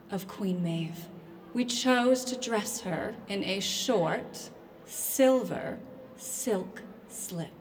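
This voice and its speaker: Audio drama: actress as aloof female panelist, unhurried, pompous, in a reverberant hall. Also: medium pitch, natural voice. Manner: measured, dramatic pauses, aloof. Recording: echoey hall, faint noise.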